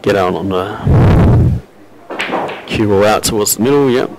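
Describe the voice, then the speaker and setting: An Australian man with a low, gravelly, robust voice talks through a pool match in a relaxed, rambling way, full of ums and uhs. The live stream audio carries a constant layer of noise. He sounds like a regular commentator at the table. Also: normal speed. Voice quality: robust loud voice. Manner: relaxed, chatty, frequent fillers and coughs. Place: venue at a pool championship.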